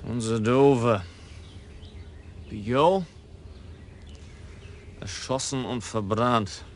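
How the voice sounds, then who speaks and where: A calm Frisian police lieutenant in a radio play. He talks in short, plain phrases, unhurried and stubborn. His voice sits in a middle pitch. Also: slight background noise. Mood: terse. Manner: slow, terse and plainspoken. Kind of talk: radio drama acting.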